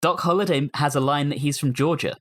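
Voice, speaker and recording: A man's voice: fairly high pitch, steady tone, fairly quick, fairly bright tone. A man hosting a casual panel discussion podcast, talking conversationally with fellow hosts. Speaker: podcast host.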